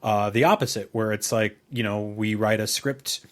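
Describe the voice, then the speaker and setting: A middle-aged man chatting casually as a podcast co-host, rambling and halting, with colorful comparisons. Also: on the low side, fairly even intonation. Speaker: podcast co-host, video host and writer. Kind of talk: casual conversational podcast chat.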